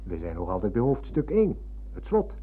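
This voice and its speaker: An actor playing a polite, gently teasing middle-aged former pupil in a Dutch radio play, telling things in a measured way. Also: male voice, lowish voice, huge pitch swings, husky, heavily acted. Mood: teasing, storytelling. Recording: muffled lo-fi old radio recording.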